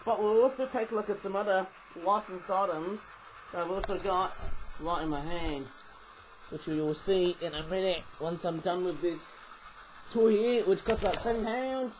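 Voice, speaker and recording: A man with a slightly high, just-woken croak rambles through a casual toy review on a webcam. He loops back, restarts his sentences and throws in chatty asides. A faint hiss sits under his voice. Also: moderate pace, rough recording.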